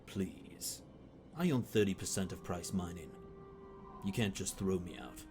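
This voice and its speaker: A man playing a smooth, pushy business negotiator with veiled menace in a comic dub, insistent and persuasive. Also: low-pitched, animated, a little slow, over music. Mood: coldly threatening. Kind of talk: acted comic dub dialogue.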